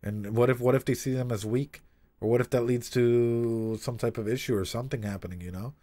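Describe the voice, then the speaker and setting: A man streaming a casual read-aloud with commentary, talking in an animated, swearing way with frequent asides. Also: medium pitch, fairly quick.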